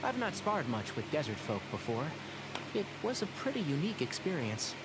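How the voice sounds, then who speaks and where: A man's voice: on the high side, very animated, brisk. A man playing a friendly, easygoing young companion in an anime-style video game, light and conversational. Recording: game audio under loud background noise.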